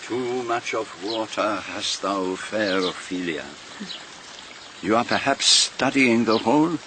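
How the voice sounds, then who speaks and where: A shrewd, self-regarding foreign detective in a radio mystery, with a fairly low voice. He questions people formally and deliberately, with great courtesy. Some noise sits behind the voice. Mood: gallant, wry. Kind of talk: British radio mystery drama acting.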